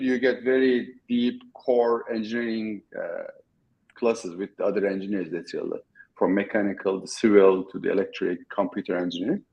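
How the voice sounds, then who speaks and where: Man, Turkish accent, middle-aged, halting and self-correcting, mid-pitched voice, muffled lo-fi audio. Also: darkish tone. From Turkey. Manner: halting, many restarts and fillers. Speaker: guest. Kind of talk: casual interview talk.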